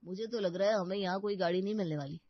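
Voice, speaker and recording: A woman's voice: medium pitch, fast, no echo, natural voice. A woman voicing a dry-witted young man in a cartoon, wry and matter-of-fact. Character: dry-witted elder brother, office worker. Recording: dull, muffled audio.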